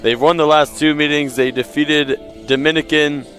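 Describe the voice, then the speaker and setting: A man doing live play-by-play commentary for a college soccer match, reeling off records and scores in a steady flow and correcting himself on the fly. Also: on the high side, normal speed, faint music behind. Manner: steady, stat-heavy delivery, corrects himself on air.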